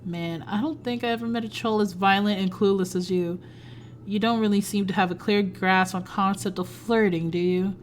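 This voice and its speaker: A woman's voice: steady tone, normal speed, slight background noise, close to an everyday voice. Woman voicing a smug, teasing fairy boy. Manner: low, smug, amused drawl. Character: teasing, smirking young male fairy with wings.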